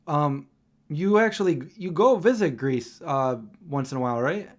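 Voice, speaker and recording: A man's voice: on the high side, expressive, normal speed. A man hosting a live stream, chatting casually and reading out viewer questions in an easygoing way.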